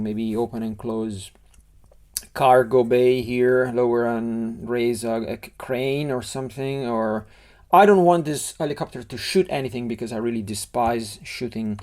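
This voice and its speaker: A middle-aged man speaks English with an Italian accent while he works through a building design out loud. His speech is halting, and he often breaks off and restarts words as he sketches. His voice sits at an ordinary middle pitch. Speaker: LEGO model designer. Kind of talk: thinking-aloud design tutorial.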